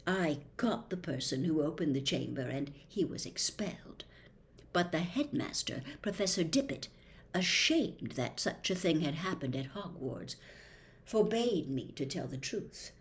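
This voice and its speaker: Lady doing a hushed secretive young guy's ghost in a diary, low and whispery, smooth talker. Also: animated, a little slow. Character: young male phantom in a diary, secretive and persuasive.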